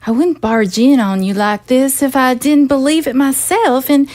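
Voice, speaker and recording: A woman's voice: sits high, normal speed, darkish tone, close to an everyday voice. A woman voicing an earnest, worried undead first lady who confides hesitantly about her daughter. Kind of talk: amateur audio drama acting.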